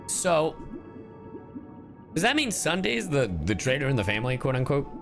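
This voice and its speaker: A man's voice: mid-range voice, sing-song, normal speed, gravelly. A man streaming a casual live reaction to a game story, talking in exclamatory slang with plenty of swearing. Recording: game music behind the voice.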